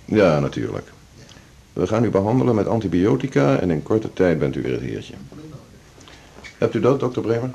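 An actor playing a brisk, authoritative senior internist in a radio drama, curt and matter-of-fact. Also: male voice, sits low, sing-song, creaky, mellow, lo-fi sound, a little hiss or hum, full character voice. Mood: calmly authoritative. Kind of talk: broadcast radio drama acting.

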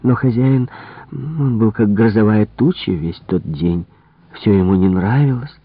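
A man voicing a chatty, indignant young French housemaid who gossips with animated exclamations. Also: fairly low pitch, unhurried, breathy edge, dry recording, voice pushed up. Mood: excited, indignant. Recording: muffled, phone-like narrow-band audio.

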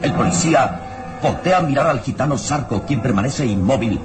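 A man's voice: mid-range voice, lively intonation, normal speed. Dramatic male radio narrator, vivid intonation. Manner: solemn, suspenseful. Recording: old radio serial with music. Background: dramatic music.

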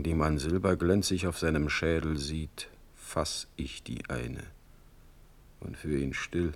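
A stern, proud sovereign with a low, deep voice. He declaims classical verse slowly and with measured authority, every phrase an imperious pronouncement in stage-trained radio drama style. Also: heavily acted. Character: commanding, composed, proud Prussian prince-elector, a stern ruler.

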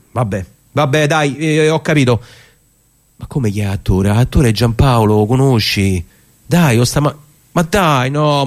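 A man's voice: medium pitch, close to an everyday voice. Man playing a chatty stage actor. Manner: halting and repetitive on the phone, measured when reading. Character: chatty stage actor on a phone, rehearsing alone. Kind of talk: theatrical monologue, casual phone talk and literary reading.

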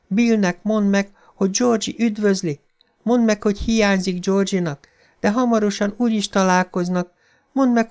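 A man's voice: sits high, darkish tone, clean tone, voice pushed up, lightly acted. A man voicing the muffled, whispering voice of dead children rising from a sink drain, taunting and gloating. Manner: gloating, taunting, ancient-sounding. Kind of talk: audiobook character voice.

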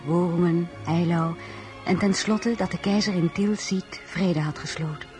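An actress playing an earnest, anxious French marshal's wife in a radio drama, emotional and sincere in both dialogue and first-person narration. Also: female voice, on the low side, darkish tone, lightly acted. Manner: earnest, emotional, hesitant when pleading.

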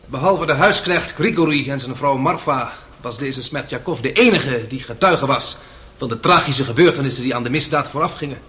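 An actor playing a shrewd, sardonic defence lawyer in a radio courtroom drama, formal and pointedly polite. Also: male voice, mid-range voice, moderate pace, pushed and bright, close to an everyday voice. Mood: measured pleading.

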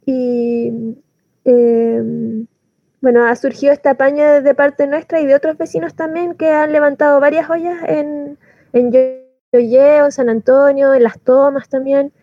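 A woman's voice: sits high, steady tone, a little slow, no echo. A woman with a Chilean accent giving an informal testimony as a community organizer, hesitant and repeating words as she explains. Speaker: community soup kitchen organizer. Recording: slightly dull, dry lo-fi recording.

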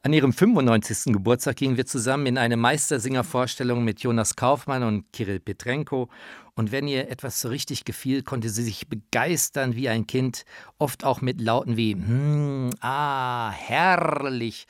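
A man's voice: medium pitch, fairly even intonation, fairly quick, dry recording, clean studio sound. A man narrating a radio feature with personal anecdotes in a scripted style.

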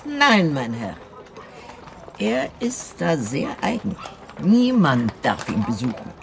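Gravelly, grudging gatekeeper. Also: male voice, on the high side, wildly swooping pitch, slow, slight background noise, full character voice. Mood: wary, grudging. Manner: guarded, curt. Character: wary, grudging male gatekeeper of a lodging house. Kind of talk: radio drama dialogue.

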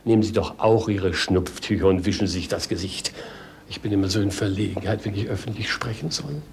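A male actor playing a flustered, pompous, philosophizing king in a 1950s radio play, theatrical and halting. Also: fairly low pitch, creaky, noisy background. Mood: confused. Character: muddled, pompous, anxious philosophizing old king. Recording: 1950s radio drama recording.

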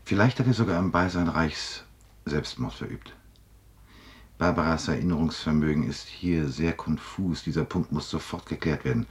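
An actor playing a determined, thoughtful investigator in a seventies radio drama. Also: male voice, rumbling low, animated, moderate pace. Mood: resolute. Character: determined male investigator probing a murder, thoughtful and insistent. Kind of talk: 1970s German radio drama acting.

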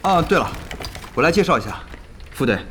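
An actor playing an eager, deferential gamer guild organizer in an audio drama, chatty and excitable. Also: male voice, on the high side, fairly quick, slight background noise. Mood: eager, flattering.

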